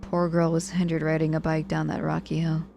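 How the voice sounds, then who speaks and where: A woman's voice: deep voice, completely flat delivery, fairly quick, vocal fry, background noise. A woman playing a sardonic but caring young witch in an intimate ASMR audio roleplay, speaking casually and teasingly with feigned reluctance. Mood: wry, chatty.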